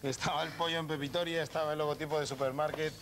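A man's voice: fairly high pitch, flat delivery, fast talker, a bit bright. A man, presenting a TV game show, repeating phrases and drawing out announcements. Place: large supermarket TV studio set. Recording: 1990s TV broadcast, music behind. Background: music.

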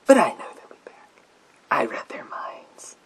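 A man playing a sinister psychic mind-reading soldier in a gas mask, in a theatrical, drawn-out, whispered ASMR roleplay. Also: close to an everyday voice, low-quality recording. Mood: reminiscing. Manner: theatrical, slow, drawn-out, repetitive phrases.

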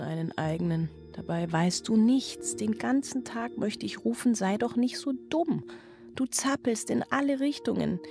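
A woman's voice: mid-range voice, creaky, a little mellow. An actress playing a weary, brooding single mother in a radio drama monologue, loving yet overwhelmed. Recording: music behind the voice.

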